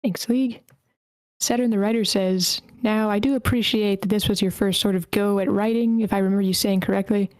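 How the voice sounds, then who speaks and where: A woman's voice: mid-range voice, fairly even intonation, a little fast, a little mellow, no echo. Dry-sounding woman hosting an online meeting. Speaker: host of an online table read. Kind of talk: reading written feedback aloud and moderating.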